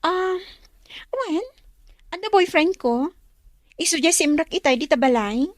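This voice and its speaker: Sweet talking sneaky girlfriend, high voice, ilocano accent, radio drama. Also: lively intonation, unhurried, dry recording, studio quality. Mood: coy. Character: Angie, sweet-talking two-timing girlfriend, secretly a mother.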